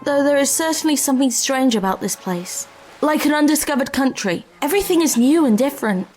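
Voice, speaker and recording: A woman's voice: high-pitched, lively intonation, a little fast, a little mellow, faint music behind, low-quality recording. A woman playing a curious, brave, level-headed adventurer in an audio drama, speaking in a precise, articulate way. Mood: curious, wondering.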